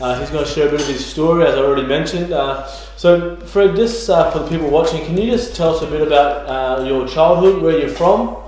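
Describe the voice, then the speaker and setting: A man interviewing a church guest, prompting gently with uhs and ums. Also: fairly high pitch, fairly quick, hardly any pauses, heavy reverb, rough recording. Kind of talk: interview questions.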